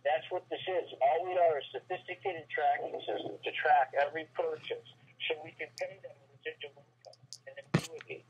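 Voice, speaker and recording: A man's voice: fairly high pitch, brisk, slightly rough. A man giving an earnest, folksy motivational sales talk over a conference call, often repeating phrases and listing items. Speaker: network marketing business owner, guest speaker on a team call. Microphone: telephone. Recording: very muffled, tinny telephone conference line.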